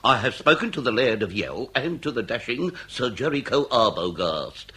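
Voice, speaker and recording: Wry, ceremonious Victorian inspector, swooping intonation. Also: male voice, mid-range voice, sing-song, pushed and bright, slight background noise. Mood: brooding, wry. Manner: florid, ceremonious, measured irony. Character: wry, courteous Victorian police inspector, male. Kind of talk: period comedy radio drama acting.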